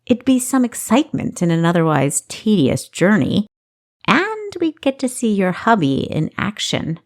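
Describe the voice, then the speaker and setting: A woman's voice: mid-range voice, big pitch swings, a little slow, a little mellow, pitched above the performer's own voice. A woman voicing a defiant, witty female thief in an audiobook, scoffing and playfully sarcastic. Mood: mischievous, sarcastic. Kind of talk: audiobook character acting.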